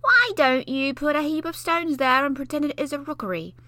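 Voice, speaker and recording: A woman's voice: sits high, lively intonation, fairly quick, bright, forceful tone, dry recording, pitched above the performer's own voice. A woman voicing a scornful seven-year-old boy who teases and mocks in a storybook reading. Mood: taunting. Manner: taunting, mocking.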